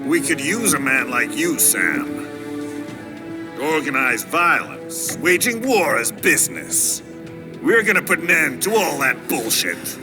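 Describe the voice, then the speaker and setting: An actor playing a confident, menacing mercenary boss with a deliberate, commanding delivery in a video game cutscene. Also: male voice, a little high, big pitch swings. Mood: persuasive, menacing. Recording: loud music under the voice.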